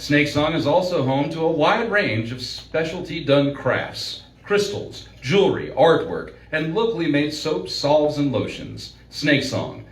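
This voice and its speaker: A man with a slightly high voice delivers a radio sponsor spot. He is deliberate and showy, like an old-time announcer. He is heard from a distance in an echoey room. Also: sing-song.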